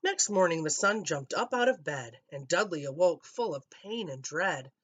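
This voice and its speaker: A woman storyteller reading a rhyming children's story aloud in an expressive way. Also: lowish voice, normal speed, breathy edge, muffled recording.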